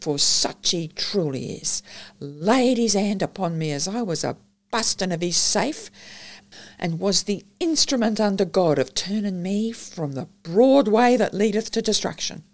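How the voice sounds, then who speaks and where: A woman's voice: mid-range voice, expressive, a little slow. A woman with an Australian accent voicing a reformed burglar who now preaches fervently, in an audiobook reading.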